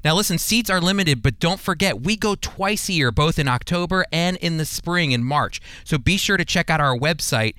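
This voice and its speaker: An American man teaches the Bible as a radio host. His voice is clear and smooth, recorded close and dry in a studio. He speaks conversationally but earnestly, leaning on key words like a preacher. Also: a little high, animated, moderate pace, few pauses, bright, forceful tone, no echo. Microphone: close studio microphone.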